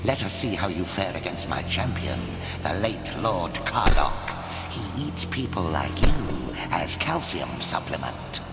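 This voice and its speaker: A low-voiced, pompous sorcerer villain from a fantasy game. His theatrical delivery swings dramatically up and down at a slow, menacing pace. Loud dramatic music plays behind him. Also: big pitch swings. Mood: gloating menace. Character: pompous, vengeful evil sorcerer, easily exasperated.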